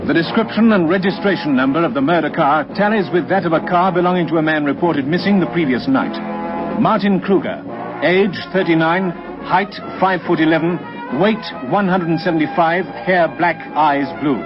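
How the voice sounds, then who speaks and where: Man as crime show narrator, fairly high voice, formal, music behind. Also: normal speed, lightly acted. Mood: grave, factual. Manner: formal, factual. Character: grave, factual male crime-drama narrator. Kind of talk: scripted radio drama narration. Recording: dull old recording, music behind.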